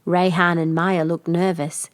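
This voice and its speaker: A woman's voice: lowish voice, little pitch movement, a little slow, dry recording. A woman reading a children's picture book aloud as a scripted narration. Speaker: storybook reader.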